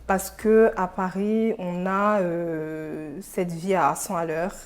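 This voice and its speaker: Lower-pitched young woman from Senegal. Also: fairly even intonation, slow. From Senegal, of Burkinabe descent. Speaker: interview guest, coach. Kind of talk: casual interview talk.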